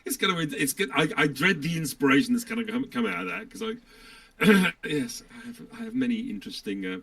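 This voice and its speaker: Male voice actor as chat guest: lively intonation, fry in the voice, informal, understated. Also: fairly high pitch, brisk. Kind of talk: casual chat.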